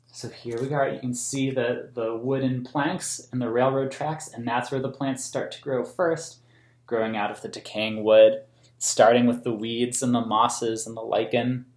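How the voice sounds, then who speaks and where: An American man in his thirties reading a picture book aloud to children in a gentle, explanatory way. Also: medium pitch. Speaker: museum story time host. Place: small plain room indoors. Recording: roomy indoor echo.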